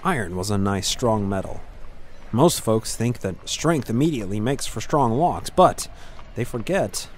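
A male narrator with a fairly low voice reads scripted fantasy fiction. His delivery is measured and dramatic, and he leaves deliberate pauses between phrases as a storyteller would. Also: animated, slight background noise.

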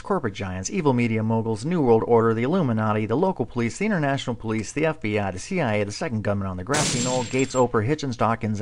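A man narrating a video voice-over with dry, mocking sarcasm. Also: on the low side.

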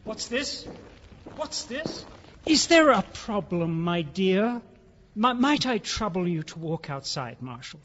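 A genial middle-aged English gentleman, high-pitched and slow-spoken, with a British accent. He is effusive and hearty, in a radio play with long reverb. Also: big pitch swings, heavily acted. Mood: flustered. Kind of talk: audio drama acting. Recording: dull, reverberant, faintly noisy recording.

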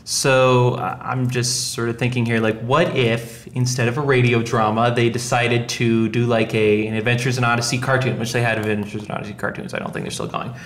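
A man hosts a casual podcast discussion in a mid-range voice. He thinks out loud, circling and rewording his points as he goes. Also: medium pitch, level tone, a little fast, few pauses. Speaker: podcast host.

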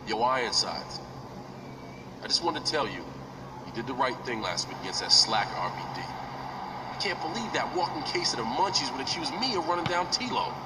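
A man's voice: medium pitch, lively intonation, normal speed, natural voice. An actor playing a brash young male wrestler who talks street slang in a video game cutscene. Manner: trash-talking street slang. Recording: distant, roomy, noisy game audio.

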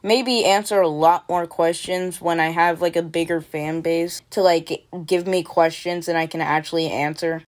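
A young woman with a naturally loud voice, a commentary YouTuber answering viewer questions in a loose, rambling way over gameplay. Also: fairly low pitch, steady tone, normal speed, few pauses. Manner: loose, rambling, many fillers. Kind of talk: casual Q&A over gameplay footage.

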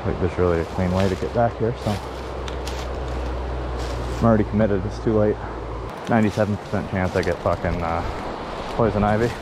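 A man's voice: fairly low pitch, steady tone. A young man talking casually to an action camera while fishing outdoors on a riverbank, laid-back and swearing now and then. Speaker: fishing vlogger. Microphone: head-mounted action camera. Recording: loud river and wind noise. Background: rushing river water and wind.

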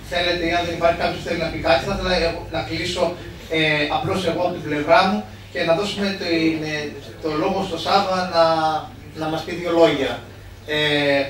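A man moderating a book launch, giving halting unscripted closing remarks with many fillers and restarts in a small cafe room. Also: a little high, a bit bright. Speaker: event moderator. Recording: very roomy, distant mic, faint noise.

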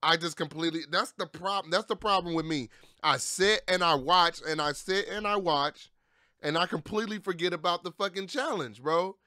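A man's voice: high voice, moderate pace, pushed and bright, no room sound. A young man chatting casually as a reaction host, slangy and repeating his phrases, close to the mic. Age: young adult. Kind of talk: casual, animated chat. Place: small indoor streaming room. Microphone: close boom-arm microphone.

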